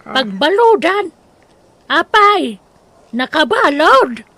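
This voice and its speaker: Respectful young daughter, high voice, Ilocano accent, emotive radio drama delivery. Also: female voice, big pitch swings, slow, bright, forceful tone, exaggerated voice. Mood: dismayed.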